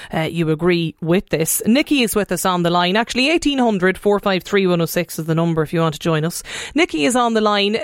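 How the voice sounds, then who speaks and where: A woman's voice: fairly low pitch, no room sound, studio quality. A woman hosting a radio phone-in, reading out listener texts and chatting with callers. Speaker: radio talk show host.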